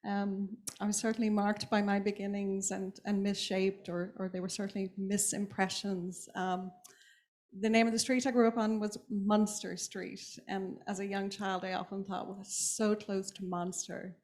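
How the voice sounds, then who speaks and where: Thoughtful Irish woman with many pauses. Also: medium pitch, flat delivery, a little mellow. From Ireland. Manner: thoughtful, hesitant, many ums and restarts. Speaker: author on a panel. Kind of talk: reflective panel talk.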